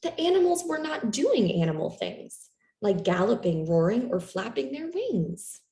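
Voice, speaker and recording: A woman reading a children's picture book aloud in an expressive, animated storytelling manner for young listeners. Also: fairly high pitch, unhurried. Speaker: storytime reader. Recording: roomy, echoey room sound.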